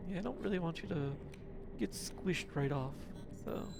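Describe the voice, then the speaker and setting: A man with a mixed accent casually commentating his card game as a streamer, musing slowly and chuckling to himself. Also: a little high, gravelly, background noise.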